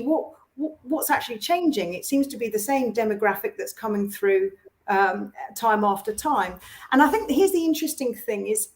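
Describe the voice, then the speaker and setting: A woman on a business panel talks with animated emphasis. She restarts her phrases and repeats words as she builds an argument. She sounds engaged and conversational. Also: medium pitch. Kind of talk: panel discussion.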